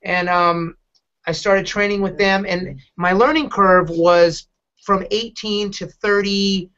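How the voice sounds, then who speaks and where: A middle-aged man hosting a podcast, telling personal stories casually in a halting, candid, rambling way. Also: high voice, steady tone, fairly bright tone, smooth. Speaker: podcast host, dog advocate. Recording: dull lo-fi podcast audio.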